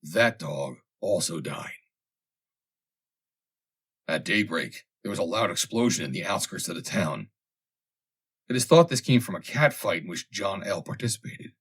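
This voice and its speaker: A man reads a comic vintage story aloud as scripted narration, in a measured, dramatic storytelling manner with deliberate pauses. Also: low voice, lively intonation, fairly bright tone, slightly rough. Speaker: audiobook reader.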